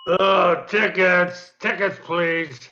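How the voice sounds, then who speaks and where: A man playing a gruff, impatient streetcar conductor in a radio comedy play, curt and barking, with a gravelly voice. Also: high-pitched, steady tone, very slow, pushed and bright, dull audio, full character voice.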